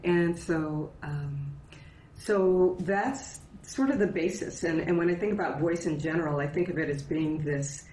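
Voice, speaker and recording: A woman's voice: low-pitched, a little slow, mellow, a little hiss or hum. A woman guest, a voice and dialect coach, explaining her ideas in a thoughtful, casual way and often checking in with "right?". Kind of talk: casual conversational interview. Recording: roomy echo with faint ambience.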